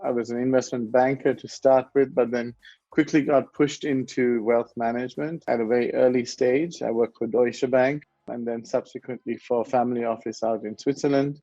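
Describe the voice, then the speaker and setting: A gravelly-voiced man in his forties from Sri Lanka, speaking as an interview guest. He rambles and corrects himself as he goes. The audio is dry and a bit dull. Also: mid-range voice, dark tone, no echo. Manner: rambling, self-correcting, chuckles often. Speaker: guest, wealth management founder. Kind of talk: conversational interview.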